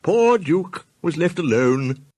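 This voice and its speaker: A man playing a kindly clergyman in a children's storybook fan dub, encouraging and gently admonishing. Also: fairly high pitch, lively intonation, takes it slowly, slightly rough, no room sound, full character voice. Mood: earnest, kindly. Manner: encouraging, indulgent.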